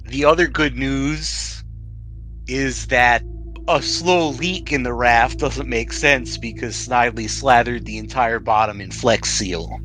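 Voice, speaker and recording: A man's voice: mid-range voice, takes it slowly, bright, forceful tone, faint music behind, poor audio. A man acting as game master, narrating a tabletop roleplaying game casually and jokingly, often laughing as he talks. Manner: jokey, laughs often while talking.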